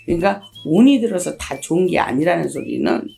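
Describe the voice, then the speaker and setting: A middle-aged woman, a shaman fortune teller, hoarse from a cold, explaining things slowly and plainly in an interview. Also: fairly low pitch, expressive, mellow, faint music behind. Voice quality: husky, gravelly. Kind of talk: interview answers.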